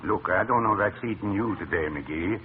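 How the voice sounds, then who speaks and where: A man's voice: sits low, moderate pace, natural voice. An actor playing a plain-spoken, friendly male visitor in an old radio comedy. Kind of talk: 1940s radio comedy acting. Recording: tinny, faintly noisy old radio recording.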